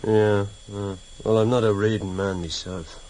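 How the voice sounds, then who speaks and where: A man's voice: on the low side, flat delivery, measured pace, a little mellow, close to an everyday voice. An actor playing a surly, unbookish henchman in a vintage radio thriller, blunt and offhand. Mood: grumbling. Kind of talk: vintage radio drama acting. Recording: slightly noisy vintage radio recording.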